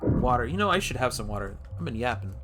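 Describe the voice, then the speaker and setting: A man's voice: medium pitch, big pitch swings, quick pace, over loud music. A man giving playful, theatrical live commentary as he streams a game, often interrupting himself with jokes. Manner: theatrical, jokey, self-interrupting.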